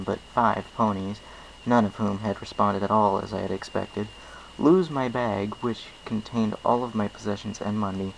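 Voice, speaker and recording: A man's voice: lowish voice, vocal fry, slight background noise, rough recording. A man reading a first-person story aloud as its narrator. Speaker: amateur story reader.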